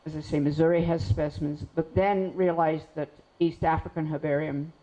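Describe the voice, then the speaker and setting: A woman's voice: low voice, fairly even intonation, moderate pace, warm tone. A woman speaker answering an audience question candidly, with a rambling, frank manner. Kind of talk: lecture Q&A. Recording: dull muffled sound.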